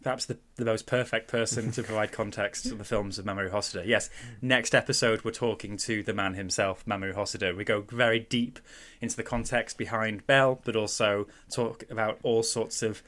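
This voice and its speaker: A man with a Mancunian accent leads a casual film podcast, his voice sitting low. He sounds relaxed and keen, laughing easily as he talks into a headset. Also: fairly quick, few pauses. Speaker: podcast host.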